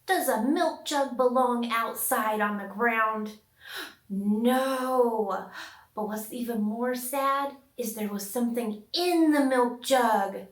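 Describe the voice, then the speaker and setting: A woman hosting a puppet storytime, talking to young children in an exaggerated, sing-song way with questions and dramatic pauses. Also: a little high, roomy sound.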